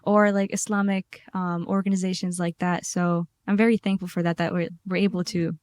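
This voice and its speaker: A woman's voice: lowish voice, level tone, brisk, darkish tone, no room sound. A nineteen-year-old woman from Iraq, a podcast guest who jokes and laughs between lines. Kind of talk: casual chat.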